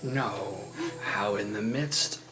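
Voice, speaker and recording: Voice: deep voice, slow, over music. A player in a tabletop game making amused, teasing remarks to the others. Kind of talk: casual tabletop play.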